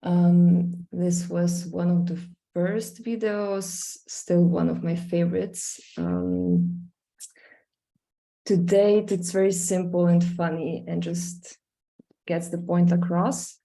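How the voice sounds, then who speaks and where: A young Slovenian woman talks slowly through her project on a video call, pausing and restarting often. Her voice is gravelly with fry, dark and mellow in tone, and sits a little low for a woman. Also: rough recording. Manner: hesitant, many fillers and restarts. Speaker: visual artist presenting her work. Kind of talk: online screen-share presentation.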